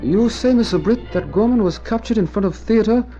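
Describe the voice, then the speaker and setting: A man's voice: high voice, brisk, over loud music, close to an everyday voice. An actor playing a loyal, earnest male valet who speaks broken English, in old-time radio drama style. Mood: questioning, earnest. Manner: broken English with dropped words. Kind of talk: 1940s radio acting. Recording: dull noisy old radio recording.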